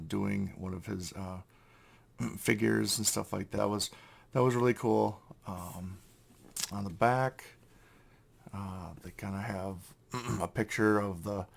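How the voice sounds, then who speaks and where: A young man talks through a toy unboxing in a hoarse, worn-out voice, clearing his throat often. His voice sits fairly low and carries a heavy gravelly fry, and he rambles with plenty of fillers. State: hoarse, lost voice. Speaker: toy collector channel host. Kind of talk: casual unboxing review.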